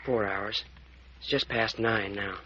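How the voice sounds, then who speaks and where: A muffled old radio recording of an earnest young hero in a mystery serial. His voice is on the low side, rough-edged and bright, with an anxious, protective temper. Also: fairly even intonation, takes it slowly, slight background noise. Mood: tender, reassuring. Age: young adult. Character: earnest, worried young man, protective and irritable. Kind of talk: 1940s radio serial acting.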